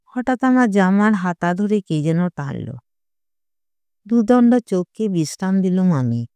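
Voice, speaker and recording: Slow, mellow storyteller with a clean, smooth voice. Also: medium pitch, lively intonation, no echo. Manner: measured, literary storytelling. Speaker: audio story narrator. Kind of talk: scripted audio story narration, first person.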